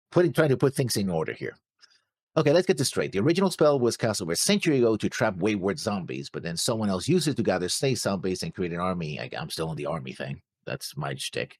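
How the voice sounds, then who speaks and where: Low-voiced, halting man with swinging intonation. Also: sing-song, no echo, clean studio sound. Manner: halting, searching for words. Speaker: reaction show co-host. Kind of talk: casual discussion, talking through notes.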